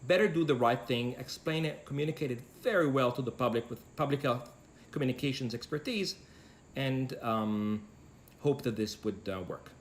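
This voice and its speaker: A male professor answering interview questions in a measured, careful way, weighing his words. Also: medium pitch, slight background noise.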